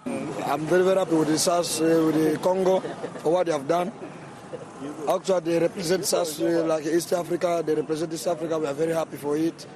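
A man from South Sudan answering a street interview, halting and repeating himself. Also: a little high, fairly even intonation, a crowd in the background. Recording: outdoor street noise.

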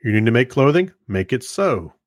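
A man's voice: medium pitch, big pitch swings, normal speed, dry recording, studio quality. A man co-hosting a podcast, trading easygoing casual banter and reading history items aloud. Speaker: podcast co-host.

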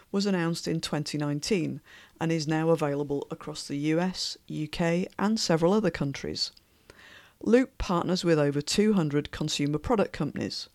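A woman hosting a podcast, reading a scripted intro with an upbeat, measured delivery, then opening an interview. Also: low voice, vocal fry, darkish tone, no echo. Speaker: podcast host.

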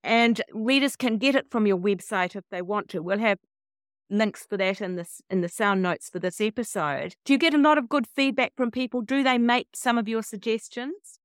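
A woman's voice: a little high, normal speed, clear, resonant tone, no echo, studio quality. A woman hosting a podcast, putting questions to a guest in a conversational interview.

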